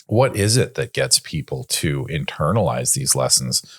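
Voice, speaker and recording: Late 40s guy on a podcast, super low voice, dry close sound, kinda wry and thinking out loud as he goes. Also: animated, moderate pace, slightly rough, no room sound. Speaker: podcast co-host. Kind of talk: casual reflective panel chat.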